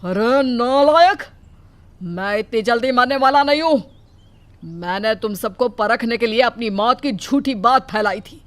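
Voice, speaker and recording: A woman voicing a stern older father who scolds forcefully in a cartoon story. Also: fairly high pitch, animated, measured pace. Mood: angry. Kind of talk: Hindi cartoon dubbing.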